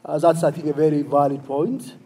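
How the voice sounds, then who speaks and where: A man from the floor poses a long question. He hesitates often and restarts. His voice sits a little high, with a warm, mellow tone. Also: little pitch movement, brisk, husky. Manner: hesitant, many fillers and restarts. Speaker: audience questioner.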